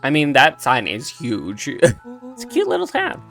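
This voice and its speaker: A man's voice: a little high, unhurried, creaky. A man hosting a let's play, chatting casually and reacting aloud as he plays. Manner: animated, reacting aloud to the game. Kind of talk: casual gameplay commentary. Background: game music with guitar.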